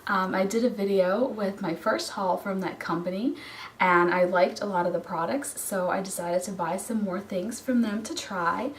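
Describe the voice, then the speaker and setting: A hoarse woman with a cold talks through a product review in a loose, chatty way, full of ums and small restarts. Her voice sits at a middle pitch and comes from a little distance away. The room adds a noticeable echo with a faint hiss underneath. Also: moderate pace. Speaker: beauty vlogger.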